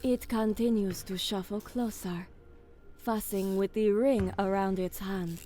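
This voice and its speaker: A woman performs a solemn alien commander from a science fiction audio drama. She speaks slowly and with weight, measured and dramatic, in a mid-range voice with music behind it. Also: medium pitch, steady tone, warm tone, lightly acted. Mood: grave. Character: alien woman commander, grave narrator, fierce when giving orders. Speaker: narrator and lead character. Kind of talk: dramatic first-person audio drama narration.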